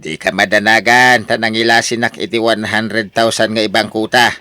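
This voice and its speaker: An actor with an Ilocano Filipino accent playing a boastful, generous older husband in a radio drama, talking in a showy, exclamatory way. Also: male voice, fairly low pitch, level tone, normal speed, sharp tone, no echo, studio quality. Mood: boastful, unworried. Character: boastful, generous older husband, newly rich lottery winner.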